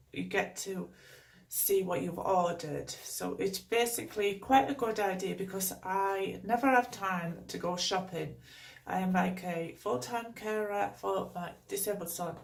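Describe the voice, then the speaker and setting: A woman from the North East of England speaks in a Geordie accent, her voice croaky and gravelly from a cold. She rambles in slow, unhurried talk full of fillers. The recording picks her up from a little distance, with faint noise behind her. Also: medium pitch. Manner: chatty, rambling, lots of fillers. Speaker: beauty vlogger. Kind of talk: casual unboxing chat. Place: home.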